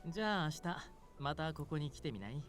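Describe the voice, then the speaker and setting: Playful demon king, lively range. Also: on the high side, very fast, soft background music. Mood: gently inviting. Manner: playful, teasing, smooth flirting. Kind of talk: Japanese visual novel voice acting.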